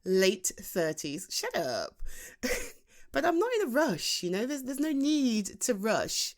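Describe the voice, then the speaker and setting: A British woman playing a teasing, self-deprecating best friend in her late thirties in a chatty, halting ASMR roleplay monologue. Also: fairly high pitch, very animated. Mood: wistful, light. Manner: halting, chatty, with sighs and chuckles. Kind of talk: ASMR roleplay acting, intimate conversational monologue.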